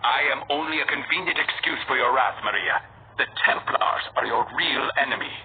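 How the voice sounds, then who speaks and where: On a phone-like, noisy recording, a cool and determined assassin speaks , acted by a man. He is mid-pitched, terse and controlled, with a dry edge suited to a video game hero. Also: brisk, close to an everyday voice. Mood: cool, taunting. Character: cool, determined, dryly taunting young male assassin. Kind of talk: video game dialogue acting. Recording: tinny narrow-band audio with noise.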